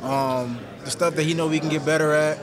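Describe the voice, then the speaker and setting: Young man, soft-spoken, halting, lots of fillers, press scrum, loud crowd chatter behind. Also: a little high, steady tone. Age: young adult. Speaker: college football player. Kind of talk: post-game press interview. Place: indoor media room with reporters around. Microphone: reporters' handheld recorders held close.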